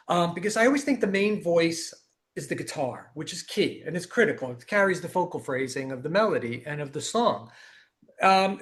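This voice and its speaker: High-voiced male interviewer, casual. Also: a bit bright.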